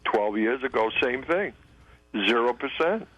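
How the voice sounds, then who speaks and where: A man's voice: mid-range voice, lively intonation, unhurried, fairly bright tone, dull audio. A man calling in to a radio show, sharing earnest, firm opinions. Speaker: caller. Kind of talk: call-in radio chat.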